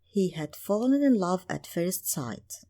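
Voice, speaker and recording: A woman's voice: fairly low pitch, very animated, slow, warm tone. A woman narrating a scripted bedtime story for children as a storyteller. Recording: music playing behind. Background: music.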